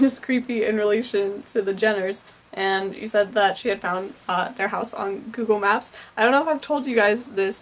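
A teenage girl vlogging, rambling and self-conscious, with lots of fillers and giggles. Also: on the high side. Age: teens. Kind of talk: casual chat. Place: car while driving, then a bedroom.

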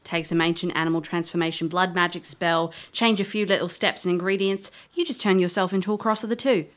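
An actress playing a sharp, wry woman investigator in a fantasy audio drama, inquisitive and plain-spoken. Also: fairly low pitch, steady tone, fairly quick, natural voice. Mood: wry, challenging.

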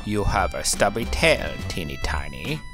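A man's voice: deep voice, sing-song, a little slow, over music, full character voice. A man voicing a dismissive, teasing gecko in a children's picture book.

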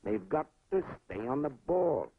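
A man's voice: mid-range voice, sing-song, measured pace, gravelly, slightly rough, heavily acted. A man voicing a battered, gloomy navy airplane in a 1940s cartoon, in a moaning, doleful way. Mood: resigned. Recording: tinny 1940s film soundtrack, faint music.

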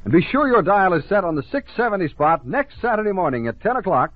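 A man's voice: high voice, very animated, fast, no echo, narrow, tinny audio. An American man announcing on a 1950s children's radio show. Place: radio studio.

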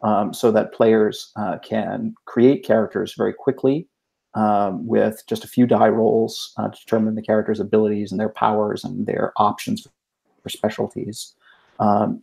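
A man on a video call explaining things in a measured, methodical way as an interview guest. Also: fairly low pitch, gravelly, mellow, poor audio. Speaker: game designer, podcast guest.

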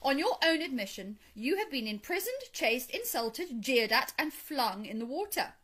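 Woman as a firm, scolding water rat, high, bright. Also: big pitch swings, heavily acted. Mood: exasperated. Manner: brisk, emphatic, admonishing.

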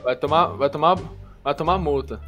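A man's voice: a little high, normal speed, a bit bright, muffled recording, soft background music. A Brazilian man with a Brazilian Portuguese accent, teasing his friend in casual banter during an online game. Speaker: gaming friend. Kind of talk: casual gameplay chat.

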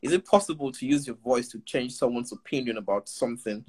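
A man's voice: medium pitch, fast talker, background noise. A man in his thirties with a Nigerian accent hosts a podcast over a video call, talking earnestly and backing the guest up with "yeah" and "true". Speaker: podcast host. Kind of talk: casual video-call interview. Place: indoor living room. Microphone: video call webcam.

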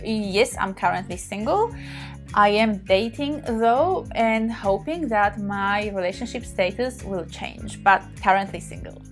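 A woman's voice: mid-range voice, lively intonation, measured pace, faint music behind. A woman in her thirties from Poland, speaking English with a Polish accent as a native Polish speaker, chatting openly to camera from her bedroom and laughing often. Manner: warm, open, laughs often, gestures while talking. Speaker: sex therapist, channel host. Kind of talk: casual to-camera talk. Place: small bedroom, indoors.

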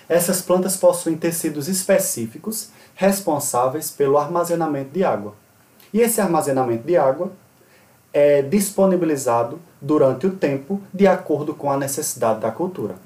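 A man in his thirties from Brazil, giving a recorded online conference lecture in a steady, explanatory way and sometimes correcting himself mid-word. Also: a little high, normal speed, recorded from a distance. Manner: steady explanatory delivery with occasional self-corrections. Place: small indoor room. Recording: roomy echo, voice off-mic.

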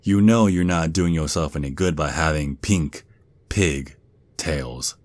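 Male actor as protective werewolf father, Southern US drawl, extremely deep, taunting and menacing, ASMR roleplay, very dry recording. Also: measured pace, a little mellow, no room sound. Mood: mocking. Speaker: audio roleplay performer.

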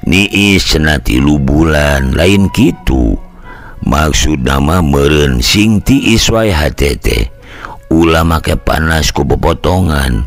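Man playing a very deep-voiced wise Sundanese uncle. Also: lively intonation, slow, faint music behind, full character voice. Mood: calm teaching. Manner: patient, moralizing. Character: calm, wise older village uncle, male. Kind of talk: storybook dialogue.

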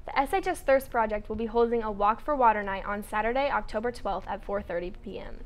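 A woman's voice: medium pitch, quick pace. A teenage American young woman reading scripted announcements as a school news anchor. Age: teens. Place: indoor school studio.

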